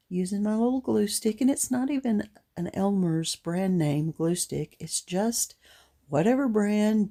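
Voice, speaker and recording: A middle-aged woman with a gruff voice giving a chatty, rambling, unhurried voice-over for a craft tutorial. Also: medium pitch, dark tone. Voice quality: gruff, gravelly. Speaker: craft vlogger.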